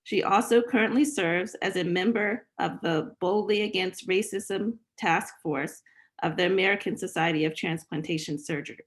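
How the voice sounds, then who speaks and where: A woman host reads a formal introduction of a guest speaker from notes. Her voice sits a little low, and she stumbles slightly now and then as she reads.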